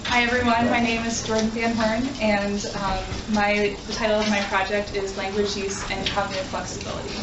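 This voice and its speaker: A woman's voice: medium pitch, little pitch movement, brisk, few pauses. A young woman, a graduate student, giving an academic presentation in an earnest way with frequent ums. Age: young adult. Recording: echoey room, distant mic, background noise.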